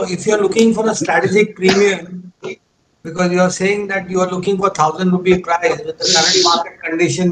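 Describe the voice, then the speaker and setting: A man with an Indian accent putting questions politely as an analyst on a conference call. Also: high-pitched. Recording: noisy conference call line.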